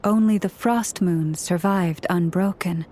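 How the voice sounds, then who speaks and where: A woman playing a serene, modest young priestess in a video game, speaking in a measured, solemn, formal way. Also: lowish voice, a little mellow. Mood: solemn, reverent. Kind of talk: video game storytelling dialogue.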